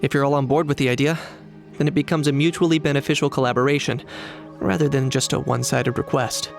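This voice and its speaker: Casting: composed, strategic young male warrior for a game voiced by an actor, measured and serious, faint music behind. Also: medium pitch, level tone, vocal fry, close to an everyday voice. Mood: matter-of-fact, composed. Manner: measured, matter-of-fact, serious. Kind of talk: video game voice acting.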